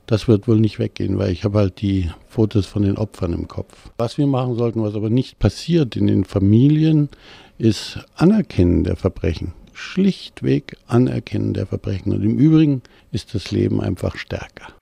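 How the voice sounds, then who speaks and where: A man's voice: lowish voice, animated, moderate pace, creaky, warm tone, husky, no room sound. An older man speaking bluntly and emphatically in plain colloquial German. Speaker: interviewee.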